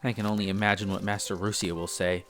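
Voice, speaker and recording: A man's voice: low voice, little pitch movement, fast, a bit bright, slight background noise. A man playing an earnest, bookish young elf apprentice in a roleplay audio drama, chatty and a little hesitant, easily flustered. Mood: thoughtful, nervous. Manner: hesitant, chatty, explains eagerly. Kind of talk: scripted roleplay audio drama addressed to the listener.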